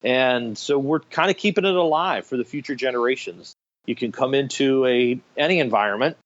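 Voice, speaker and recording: A man's voice: medium pitch, fairly quick, highs cut off. A middle-aged man telling anecdotes enthusiastically as an interview guest, in a conversational storytelling style. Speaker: guest, radio theater director.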